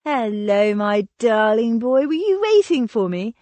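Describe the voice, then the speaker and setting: A woman's voice: on the high side, big pitch swings, unhurried, warm tone, no echo, pitched above the performer's own voice. A woman voicing a confident, wry young woman in a storybook reading, speaking with a teasing, playful edge.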